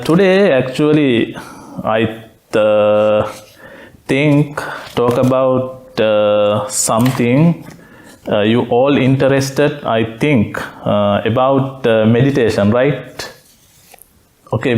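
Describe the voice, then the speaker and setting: Slow-speaking Sri Lankan monk. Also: male voice, mid-range voice, a little mellow. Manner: unhurried, pauses often with "uh", simple phrasing. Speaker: Buddhist monk giving a Dhamma talk. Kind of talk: slow, halting Dhamma talk with many fillers. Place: meditation center room.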